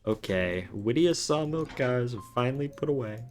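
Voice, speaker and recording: A man's voice: mid-range voice, animated, moderate pace, a little mellow, soft background music. A young man in college, a streamer telling a rambling story with restarts in casual chat while gaming. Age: young adult.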